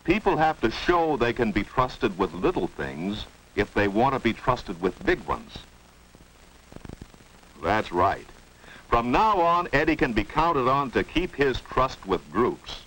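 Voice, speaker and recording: A man's voice: medium pitch, big pitch swings, takes it slowly, a bit bright. A man giving scripted 1950s educational film narration, didactic, often posing rhetorical questions. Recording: noisy old 1950s film soundtrack.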